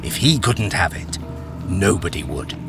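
A man's voice: low voice, animated, measured pace, gravelly, full character voice. An actor playing a haunted miner, narrating his ordeal in a brooding, suspenseful radio-drama style. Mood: dread. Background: music.

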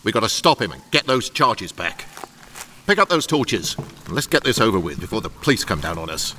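An actor playing a hard, commanding gang captain in a radio drama, speaking in terse orders. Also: male voice, on the low side, big pitch swings, a little fast, sharp tone, a little hiss or hum. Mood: urgent anger.

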